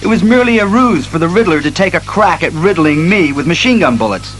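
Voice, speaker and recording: An actor playing an earnest, methodical masked detective hero who reasons aloud in a declamatory audio drama style. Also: male voice, sits high, animated, fairly bright tone, faint music behind. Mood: earnest, resolute. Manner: deliberate, declamatory deduction.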